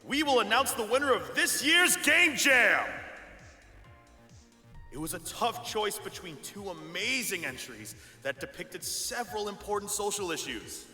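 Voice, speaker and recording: A showy awards host in a visual novel, high and bright, in a big echoing hall. He announces with excitement and wide swings of intonation. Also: measured pace, heavily acted. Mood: formal, building suspense. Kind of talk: ceremony announcement. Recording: echoing hall, music underneath.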